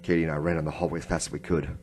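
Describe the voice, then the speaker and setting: Man as a low-pitched noir inspector. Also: level tone, racing, a little mellow, breathy edge. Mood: grim narration. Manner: terse, grim. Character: hard-boiled noir male police inspector narrating his case. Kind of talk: noir radio drama narration.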